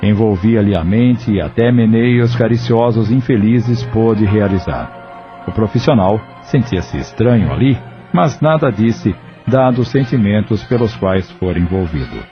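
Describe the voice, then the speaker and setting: A man narrating an audio novel in a measured, grave manner. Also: lowish voice, expressive. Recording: muffled lo-fi audio with music.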